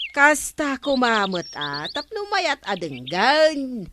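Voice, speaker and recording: A woman's voice: sits high, big pitch swings, takes it slowly, background noise, full character voice. A woman with an Ilocano accent playing a bossy, scolding village councilwoman in a radio comedy drama, nagging and sarcastic as she gives orders.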